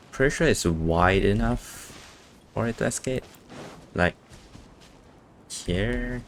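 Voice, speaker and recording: A man streams a video game with casual commentary. His voice sits low and his remarks are offhand and doubtful, over background game music. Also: a little hiss or hum.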